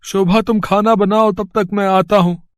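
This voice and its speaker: Man acting a high-voiced, humble poor villager. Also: flat delivery, moderate pace, smooth, no room sound, clean studio sound, natural voice. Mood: earnest. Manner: polite, hesitant, pleading. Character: humble, earnest poor village food seller. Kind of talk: acted storybook dialogue.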